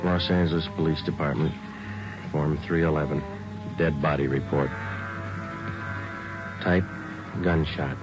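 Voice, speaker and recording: An actor playing a weary police sergeant in 1940s American radio style, speaking in a flat, clipped, matter-of-fact way. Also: male voice, very deep voice, a little slow, long pauses. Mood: flat, official. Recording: muffled old radio recording, music behind.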